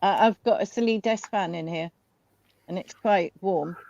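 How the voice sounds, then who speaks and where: A woman's voice: on the low side, normal speed, gravelly, a little mellow, no echo, highs cut off. A woman on a video call, asking questions in a casual chat. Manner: asks questions, mishears.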